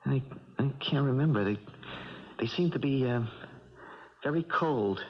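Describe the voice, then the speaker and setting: A man's voice: medium pitch, unhurried, husky. An actor playing a sleepless, frightened young male boxer in a 1970s radio drama, stammering and groping for words. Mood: frightened, dawning dread. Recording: dull 1970s radio recording.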